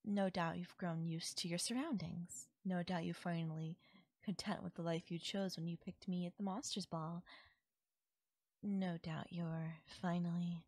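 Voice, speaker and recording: A woman voicing a doting, possessive yandere fairy in an intimate audio roleplay, coaxing and softly teasing. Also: fairly low pitch, fairly even intonation, a little slow, no echo. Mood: sweet, smug. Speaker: voice actor. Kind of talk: intimate audio roleplay, ASMR-style acting.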